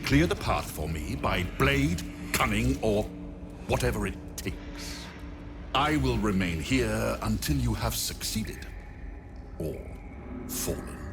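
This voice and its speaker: A gravelly, haughty necromancer. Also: male voice, lowish voice, sing-song, noisy background, full character voice. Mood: condescending. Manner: haughty, disdainful, slow and deliberate. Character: arrogant, cold male necromancer, older. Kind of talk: video game cutscene voice acting.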